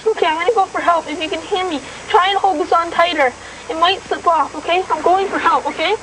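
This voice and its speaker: A scared teenage boy calling for help in a TV re-enactment. His voice is extremely high, and the old recording is noisy. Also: steady tone, fast. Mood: urgent.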